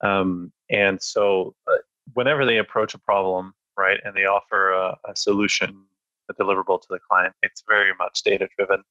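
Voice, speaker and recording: A low-voiced man talks through his answers as a podcast guest, pausing often with fillers and restarts. He sounds thoughtful and unhurried. The recording is dry and a little dull. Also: flat delivery, sharp tone, no echo. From born in France, raised in Spain. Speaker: podcast guest, data analyst. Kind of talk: casual interview talk.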